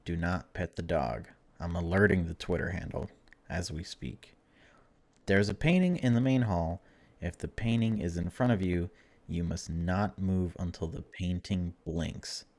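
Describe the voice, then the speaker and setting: A man with a low voice plays through a game for viewers. He reads the text aloud and comments on it in an informal, chatty way, dropping wry remarks as he goes. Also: measured pace, darkish tone. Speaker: video game streamer. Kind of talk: casual let's-play commentary mixed with reading game text aloud.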